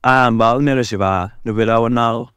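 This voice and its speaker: Man as a clear-voiced supportive village friend. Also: medium pitch, little pitch movement, a little fast, fairly bright tone, dry recording, studio quality, lightly acted. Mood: concerned. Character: farmer's supportive male friend, eager business partner. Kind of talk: cartoon story dialogue.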